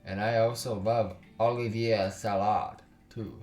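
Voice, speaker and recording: A man's voice: fairly low pitch, steady tone, measured pace, darkish tone. A man voicing a chatty, cheerful young woman from a visual novel, chattering and gushing. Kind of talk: visual novel character read-aloud. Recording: roomy echo, distant mic, music behind.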